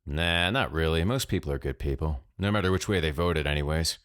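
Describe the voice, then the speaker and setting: A man's voice: extremely low pitch, lively intonation, a little fast, a bit bright. A man voicing a mischievous, amused young American prankster with a casual, sweary, teasing manner in an audiobook story. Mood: amused, scornful.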